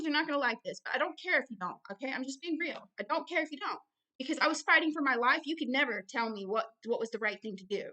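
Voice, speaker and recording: Emphatic, bright-voiced woman preaching. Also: fairly high pitch. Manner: emphatic, blunt, repeats phrases for stress. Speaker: ministry founder and host reading and commenting on a book.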